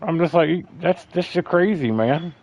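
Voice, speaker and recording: Male streamer, bassy voice, chatty rambler, muffled stream audio. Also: expressive, a little slow, darkish tone. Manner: rambling, chatty storyteller, calls people bro. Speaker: livestream host. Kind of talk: casual chat.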